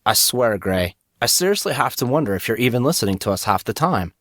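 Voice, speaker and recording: A man's voice: mid-range voice, very animated, fairly quick, a bit bright, no echo, clean studio sound. A man voicing a brash, incredulous teenage schoolboy with slangy, casual talk in an audiobook.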